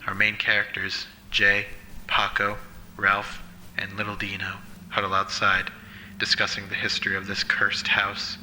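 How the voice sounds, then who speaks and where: A man playing a solemn, measured narrator of a scripted Halloween horror story. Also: on the low side, completely flat delivery, bright, forceful tone, slight background noise, poor audio.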